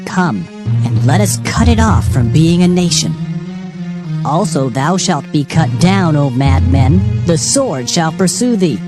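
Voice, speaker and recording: Female scripture reader, lowish pitch, highly animated solemn declamation over a clear music bed. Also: unhurried. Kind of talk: dramatized King James Bible reading.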